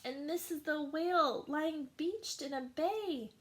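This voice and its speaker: A woman teacher reads a rhyming picture book aloud to children. Her voice is on the higher side, and she takes the verses slowly and expressively, lingering on each line. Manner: slow, expressive rhyming narration. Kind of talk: storybook read-aloud.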